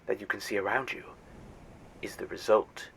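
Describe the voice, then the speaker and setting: A man's voice: lowish voice, fairly even intonation, normal speed, vocal fry, fairly bright tone, breathy edge, heavily acted, rough recording. A man playing a sarcastic, scornful alien. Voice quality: sarcastic, condescending. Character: arrogant sarcastic male alien Eternal guide. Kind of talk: fan audio drama.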